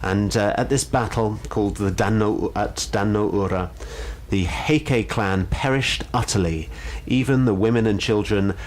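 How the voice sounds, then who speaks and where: Deep-voiced man telling stories. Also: unhurried, hardly any pauses. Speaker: guest storyteller. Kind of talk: measured explanation and story reading.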